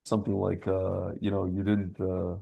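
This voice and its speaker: A male podcast host with a fairly low, gravel-edged voice full of fry. He talks casually and with opinions, in slangy, rambling sentences. He often doubles back on phrases or drops them midway. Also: monotone, moderate pace, phone-quality sound.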